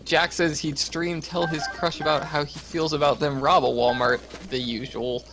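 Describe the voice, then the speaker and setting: A man's voice: fairly high pitch, little pitch movement, moderate pace, a bit bright, slight background noise. An American man reading livestream chat aloud and chatting casually, with dry joking asides.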